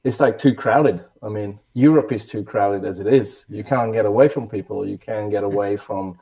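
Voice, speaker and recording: Low, mellow man. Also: rough recording. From Hungary. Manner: measured, thoughtful, hesitant fillers. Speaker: podcast guest, overland traveler. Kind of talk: conversational interview answers.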